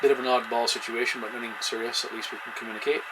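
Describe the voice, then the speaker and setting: A man's voice: mid-range voice, flat delivery, racing. A man chatting casually and hesitantly as a CB radio operator, making call-outs on air. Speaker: amateur CB radio operator and video host. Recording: very noisy, loud radio static. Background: CB radio noise.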